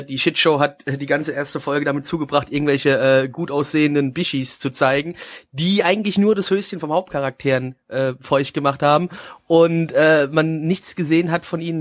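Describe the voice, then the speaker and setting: A man hosting a casual anime review chat, talking in a halting, joking, self-mocking way. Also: a little high. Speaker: host of an anime review show.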